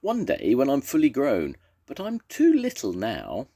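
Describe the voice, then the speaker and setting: A man's voice: mid-range voice, wildly swooping pitch, measured pace, dark tone, slightly rough, voice pushed up, exaggerated voice. A man voicing a young, meek, childlike monster in a storybook. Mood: sad. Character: small sad young male storybook monster, not grown.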